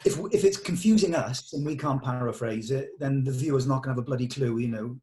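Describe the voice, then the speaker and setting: A man's voice: medium pitch, fast, mellow, a little hiss or hum. Plain-spoken man directing a table read. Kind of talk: giving directions to actors in an online script read-through.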